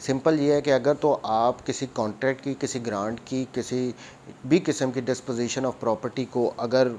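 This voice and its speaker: Male law lecturer, Pakistani accent, medium pitch, didactic and explanatory, English legal terms mixed in, faint noise behind. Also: darkish tone. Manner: didactic, repeats and rephrases points.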